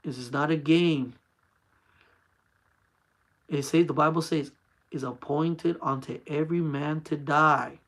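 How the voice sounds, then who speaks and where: A man's voice: fairly high pitch, steady tone, slow, long pauses, a bit bright. A man in his forties hosting a casual faith talk for his channel, speaking in an earnest, repetitive, urging way. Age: 40s. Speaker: channel host.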